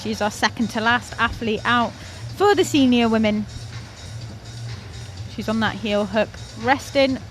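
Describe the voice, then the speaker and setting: A bright, higher-voiced young woman from North Wales calls a climbing competition live, chatty and eager, cheering the climbers on. She laughs easily at her own slips, and venue music plays clearly behind her. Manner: chatty, enthusiastic, quick to laugh at herself. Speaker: commentator.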